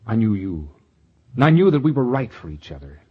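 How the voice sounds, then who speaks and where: An actor playing a steadfast, plain-spoken young small-town suitor, earnest and sincere, in a 1940s radio drama. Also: male voice, lowish voice, sing-song, fairly quick, husky. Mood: tender, confident. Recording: muffled 1940s radio broadcast recording.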